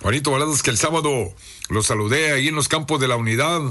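A hoarse man, hosting a radio show and reading listener greetings in a chummy, casual way. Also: medium pitch, fast, bright, forceful tone. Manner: chummy, reads listener messages aloud. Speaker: radio host. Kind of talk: casual radio shout-outs.

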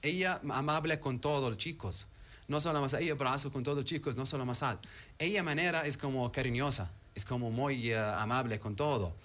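Male guest, Arabic accent, non-native speaker, hesitant delivery with many filler pauses, mid-range voice. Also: mid-range voice, fairly bright tone. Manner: halting non-native phrasing, frequent "eh" pauses. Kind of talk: casual interview chat.

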